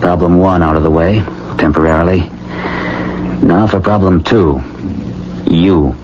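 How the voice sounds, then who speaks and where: A man acting in a 1970s radio drama as a calm, practical doctor, steady and matter-of-fact. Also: low-pitched, measured pace. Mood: reassuring. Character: calm, practical male doctor and family confidant. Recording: muffled, noisy old radio broadcast.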